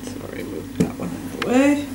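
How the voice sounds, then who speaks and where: A woman's voice: moderate pace, reverberant, noisy background. An Irish woman making soap, chatting casually and teasing her friend as she cuts bars at home. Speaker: soapmaker. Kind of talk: casual chat while cutting soap.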